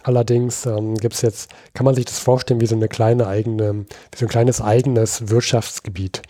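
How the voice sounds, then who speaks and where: Mellow-voiced man explaining, halting and dry. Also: mid-range voice, level tone, dry recording. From Berlin, Germany. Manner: explains at length, hesitant with many fillers. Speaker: podcast co-host. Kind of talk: casual history podcast chat.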